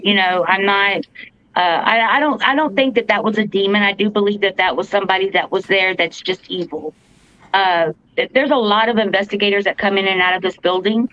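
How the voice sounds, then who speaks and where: A woman with a fairly deep voice, earnestly telling a story as a podcast co-host, halting with fillers and restarts. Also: fairly bright tone. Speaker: podcast co-host, paranormal investigator. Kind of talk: casual storytelling. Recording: dull lo-fi podcast audio, dry.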